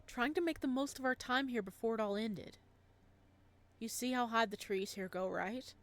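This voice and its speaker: A woman's voice: fairly high pitch, moderate pace, natural voice. A woman voicing a tender, guilt-ridden young man in a visual novel, speaking in an earnest, hesitant way. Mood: somber, reminiscing. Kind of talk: visual novel dialogue read aloud.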